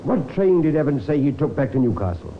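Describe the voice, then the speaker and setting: A methodical police inspector, old radio play, formal, tinny phone-like recording with some hiss. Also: male voice, a little high, sing-song. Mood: pondering. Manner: formal, deliberate, clipped questioning. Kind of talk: old time radio drama acting.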